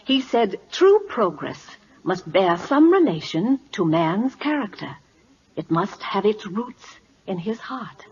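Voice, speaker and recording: An actress playing a principled, outspoken governess at a royal court in a 1940s-style radio drama, speaking articulately, polite but firm. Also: female voice, medium pitch, very animated, slow pace, darkish tone, breathy edge, full character voice. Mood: principled, persuasive. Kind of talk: 1940s American radio acting. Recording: muffled old-time radio recording.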